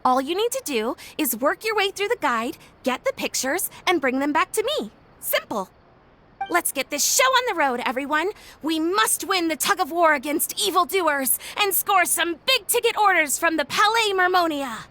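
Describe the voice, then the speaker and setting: A woman's voice: extremely high pitch, animated, moderate pace, pushed and bright, exaggerated voice. A woman playing an eager, dramatic young female researcher in a video game, with a gushing, theatrical salesy manner. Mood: enthusiastic. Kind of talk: video game character dialogue. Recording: background music under the voice. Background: game background music.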